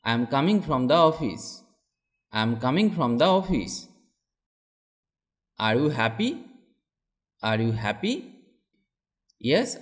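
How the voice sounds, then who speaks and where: Male teacher voice for drills; slow and deliberate, animated intonation on questions and answers. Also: mid-range voice. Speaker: English teacher reading practice phrases. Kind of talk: slow model sentences for learners, each said twice.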